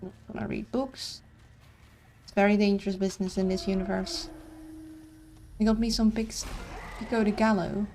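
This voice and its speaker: A woman, streaming a game and thinking aloud in a casual, halting way. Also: mid-range voice, flat delivery, dark tone, soft background music. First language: Dutch. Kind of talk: casual live-stream commentary.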